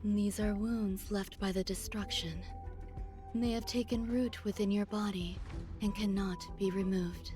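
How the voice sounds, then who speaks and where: An actress voicing a mysterious, calm guide in a video game, speaking in a measured, reassuring and solemn way. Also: female voice, medium pitch, lightly acted. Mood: calm, guiding. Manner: measured, composed. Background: game music.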